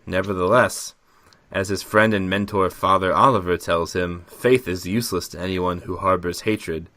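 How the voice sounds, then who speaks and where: Guy on a podcast, kinda low voice but bright, very dry sound, jokey and chatty. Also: steady tone, moderate pace, no echo. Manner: jokey, laughs often. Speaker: podcast co-host. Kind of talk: casual banter and halting reading aloud.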